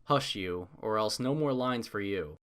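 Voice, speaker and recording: Guy reading a fanfic story out loud, pitch moves around a lot, animated narrator. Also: a little slow, clean tone.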